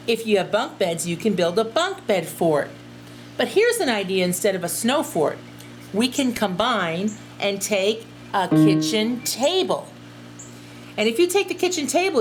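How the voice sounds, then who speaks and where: Expressive, lively woman teacher reading aloud. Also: mid-range voice. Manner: expressive, addresses young listeners directly. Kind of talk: children's storybook read-aloud and chat.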